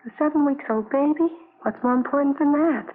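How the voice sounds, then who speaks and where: An actress in a vintage radio drama playing a worried, kindly witness with an earnest, tender manner. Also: female voice, high-pitched, brisk, close to an everyday voice. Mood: dismayed. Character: worried, kindly woman witness fretting over abandoned baby. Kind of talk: 1950s radio drama acting. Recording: tinny, noisy old radio recording.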